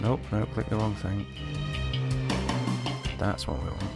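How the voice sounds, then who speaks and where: Male game commentator, gravelly voice, casual and unscripted, prominent music underneath. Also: on the low side, fairly even intonation, a little slow. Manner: unscripted, thinking aloud. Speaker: gaming video host.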